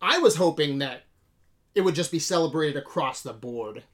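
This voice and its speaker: A man's voice: on the high side, lively intonation, normal speed, a bit bright. A man hosting a casual movie discussion podcast, talking informally with slang and free swearing. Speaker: podcast host.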